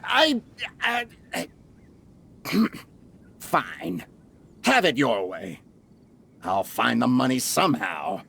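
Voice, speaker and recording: Casting: a woman playing a sputtering greedy merchant, low gravelly voice, slow and swinging in pitch. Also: big pitch swings, a bit bright, heavily acted. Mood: indignant. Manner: indignant, huffing, gravelly. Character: pompous greedy older merchant man, blustering. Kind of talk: game cutscene voice acting.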